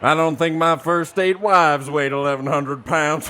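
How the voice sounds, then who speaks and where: An actor playing a rich, boastful, jovial gentleman in an audio comedy. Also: a little high, a little slow, slight background noise. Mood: jovial, grandiose.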